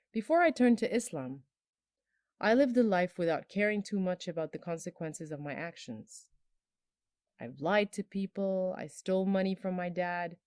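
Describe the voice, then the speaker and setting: A woman in her twenties from Bangladesh, telling her personal story in a soft, earnest, emotional way, her phrases trailing off low. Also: dry recording, studio quality. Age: 20s. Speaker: storyteller sharing a personal testimony. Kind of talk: personal testimony.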